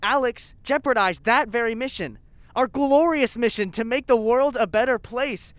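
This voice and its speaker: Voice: mid-range voice, moderate pace, a bit bright, dry recording, natural voice. An actor playing a zealous, spiteful scientist in an audio drama, bitter, sarcastic and insistent. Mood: bitter, fervent. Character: zealous, spiteful lab scientist named Colin. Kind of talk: scripted audio drama acting.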